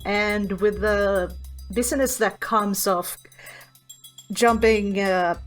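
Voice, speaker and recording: A woman telling her character's side of a tabletop roleplaying game in a casual, musing way, pausing to think. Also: mid-range voice. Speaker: tabletop game player, guest.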